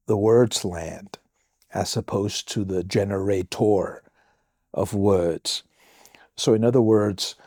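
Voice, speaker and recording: A Samoan man, a pastor, answering listener questions on a podcast in a slow, measured way with thoughtful pauses and a Samoan accent. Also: on the low side, a little mellow, no room sound, clean studio sound. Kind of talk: reflective podcast talk.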